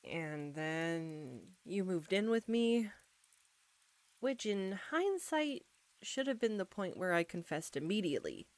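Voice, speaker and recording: A woman playing an embarrassed, anxious young roommate in an intimate audio roleplay, speaking softly and haltingly straight to the listener. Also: fairly low pitch, big pitch swings, no room sound. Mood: sheepish confessing. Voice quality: soft. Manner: halting, flustered, nervous stumbling.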